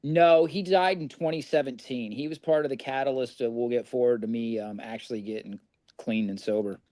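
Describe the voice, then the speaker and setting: A man's voice: medium pitch, fairly even intonation, brisk, warm tone, dry recording. Man, middle-aged, from Indiana, candid, rambling, swears, dry room sound. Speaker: podcast guest. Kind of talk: casual recovery storytelling.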